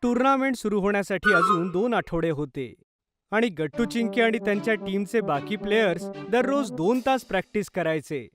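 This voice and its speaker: A man's voice: high-pitched, animated, moderate pace. A man narrating a scripted children's cartoon story as its storyteller. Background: background music.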